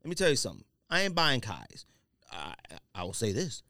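A man hosting a podcast in casual banter, talking in an animated, slangy, emphatic way. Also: medium pitch, fairly quick, gravelly, no echo, studio quality. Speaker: podcast host.

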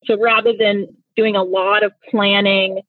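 A woman's voice: medium pitch, flat delivery, a little slow, dry recording. A woman in her thirties from Nigeria, whose first language is Igbo, answering interview questions in an earnest, reflective way with frequent restarts. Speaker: guest, company founder. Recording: tinny phone-line sound.

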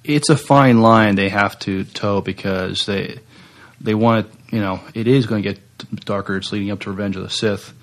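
A man's voice: fairly low pitch, fairly even intonation, normal speed. A man co-hosting a podcast in a casual, conversational style. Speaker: podcast co-host. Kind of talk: casual sci-fi news chat.

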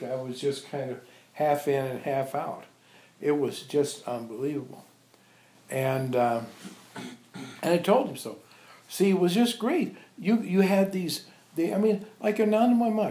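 A man informally reminiscing to visitors as a spiritual teacher, telling animated anecdotes and acting out remembered dialogue. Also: medium pitch. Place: echoey indoor room at an ashram. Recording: roomy, echoey sound.

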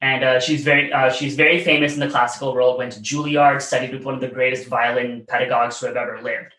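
A man's voice: medium pitch, fairly even intonation, fast talker, pushed and bright. A man in his late twenties from the United States, telling stories as an interview guest in a chatty, rambling way full of "like" and "you know.". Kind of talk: casual interview storytelling. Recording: roomy echo.

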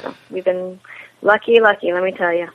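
A woman's voice: on the low side, fairly even intonation, brisk. A woman chatting casually as an interview guest about her creative work. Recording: thin telephone-like call audio.

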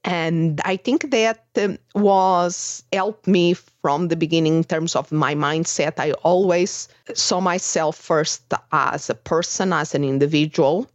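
A woman's voice: low-pitched, slow, few pauses, no echo, dull audio. A woman from Portugal with a Portuguese accent, hosting a podcast conversation, speaking hesitantly with many fillers and restarts. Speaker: podcast host. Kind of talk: casual conversation.

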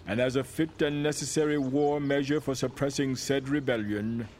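Resolute 19th-century president, acted by a man, oratorical, stately, medium-pitched, proclamation reading, background noise. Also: darkish tone, close to an everyday voice.

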